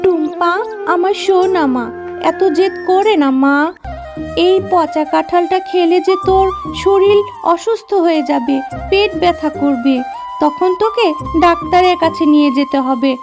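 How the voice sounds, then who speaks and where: A poor, caring animal mother in a children's cartoon, voiced by a woman. She speaks in a high, motherly voice, coaxing and worried, over background music. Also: a little slow. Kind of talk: children's cartoon story acting.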